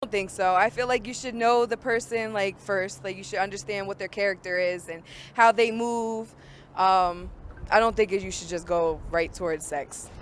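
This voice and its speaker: A woman's voice: medium pitch, fairly even intonation, normal speed, a little hiss or hum. A woman answering an interviewer in casual talk, thoughtful and hedging. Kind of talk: casual chat.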